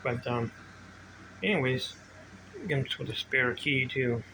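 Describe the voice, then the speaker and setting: Older man, bright voice, rambling and informal. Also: medium pitch, fairly even intonation, takes it slowly, gravelly, poor audio. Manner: rambling, informal, drifting between asides. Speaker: vintage bike collector demonstrating his gear. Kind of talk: casual rambling show-and-tell vlog. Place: indoor home workshop room. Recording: noticeable background noise.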